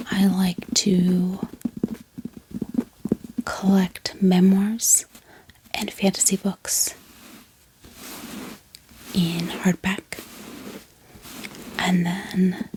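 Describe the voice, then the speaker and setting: Female ASMR vlogger, whispered and calming, slightly low in pitch, over noticeable hiss and clicking. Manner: gentle, unhurried, calming. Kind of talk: soft-spoken whispered ASMR. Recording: steady background hiss, heater hum, clicks. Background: book tapping, clicking, heater running.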